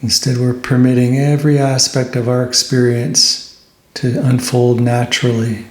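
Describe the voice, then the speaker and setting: A man leading a guided meditation as a teacher, speaking gently and unhurriedly, with pauses between phrases. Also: medium pitch, level tone, reverberant.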